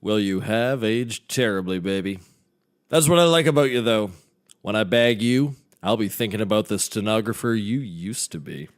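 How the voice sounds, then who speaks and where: A man's voice: lowish voice, normal speed, close to an everyday voice. Man as a smug, sleazy private eye. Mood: sleazy, flirtatious. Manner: smug, brash, self-important. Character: cocky, sleazy private investigator flirting and boasting. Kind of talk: scripted comedy acting.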